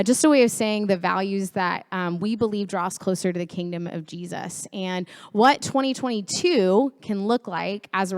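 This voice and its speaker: A woman's voice: medium pitch, fairly bright tone. A woman pastor giving a casual, chatty sermon to her church, laughing easily. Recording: echoey hall audio from far off with faint noise.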